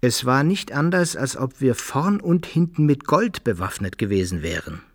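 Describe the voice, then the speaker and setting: An actor playing an adventurer who recounts his rough exploits in an articulate storytelling manner, in archaic German for a radio play. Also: medium pitch, very animated, moderate pace, no room sound, studio quality, close to an everyday voice. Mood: narrating, grim.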